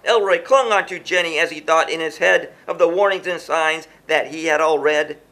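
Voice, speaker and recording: A man's voice: fairly high pitch, moderate pace, bright, forceful tone. A man reading a rhyming children's storybook aloud in a theatrical, sing-song rhythm.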